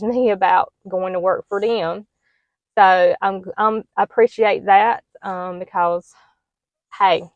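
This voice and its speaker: A soft-spoken middle-aged woman with a drawl chats to the camera about her plans. Her voice sits low and stays level, with little rise or fall. The sound is close and dry, with no room echo. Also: muffled recording. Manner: soft-spoken, plain and hesitant, many ums. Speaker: homestead vlogger. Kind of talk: casual talk to camera.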